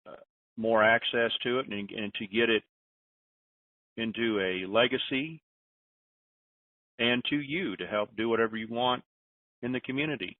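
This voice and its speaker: A man's voice: medium pitch, normal speed, long pauses, no room sound. A man hosting a call-in conference, talking informally in a folksy way and handing out advice. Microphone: telephone conference line. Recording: muffled phone line, distant mic.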